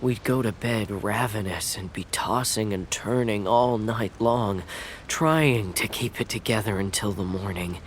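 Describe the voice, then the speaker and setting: A man's voice: fairly low pitch, measured pace, faint music behind, close to an everyday voice. A man voicing a humble, grateful village fisherman in an anime game, earnest and a little awkward. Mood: sorrowful. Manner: hesitant, earnest, folksy.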